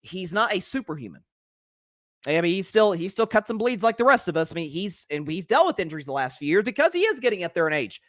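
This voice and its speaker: Man, sports talk host, high voice, dry close sound, animated, repeats words. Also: fast, dry recording. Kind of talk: solo sports talk show reading live comments.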